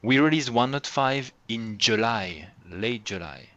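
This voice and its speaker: A man leading an online developer meeting in casual chat, quick and lively, laughing often, on a computer mic. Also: medium pitch, dull audio. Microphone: computer mic on a video call.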